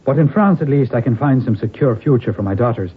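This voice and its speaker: A man's voice: medium pitch, lively intonation, fast, lightly acted. A man playing a dignified, protective middle-aged lord and father with a grave, formal manner in a radio drama. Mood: grave, resolute. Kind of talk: 1970s radio drama acting. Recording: noisy, tinny old radio broadcast.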